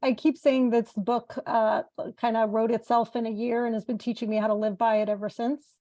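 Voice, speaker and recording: A woman's voice: on the high side, level tone, brisk, dark tone, lo-fi sound. A woman leading an online workshop, explaining things in an informal, conversational lecture style. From born in the United States to Italian parents, spent half of life in Italy. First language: bilingual. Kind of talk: informal lecture and group facilitation.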